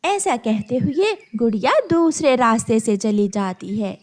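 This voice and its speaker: A woman's voice: a little high, sing-song, a little slow, a little mellow. A woman reading scripted narration for a children's animal story.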